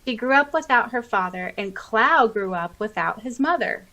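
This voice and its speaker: Expressive woman co-hosting a podcast. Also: on the high side, normal speed, noisy background. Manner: expressive, giggly, interjecting exclamations. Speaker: podcast co-host. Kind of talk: reading listener comments aloud with chatty reactions.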